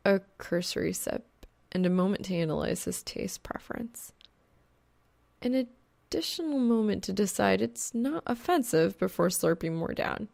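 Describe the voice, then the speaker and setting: A woman narrator reading fan fiction as an expressive audiobook. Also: mid-range voice, moderate pace, gravelly.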